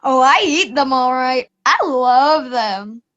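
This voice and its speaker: A woman's voice: sits high, slow pace, a bit bright, dull audio. A woman playing an odd, matter-of-fact storybook boy who happily eats dirt, in a children's radio play. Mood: unbothered. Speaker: student radio play actor.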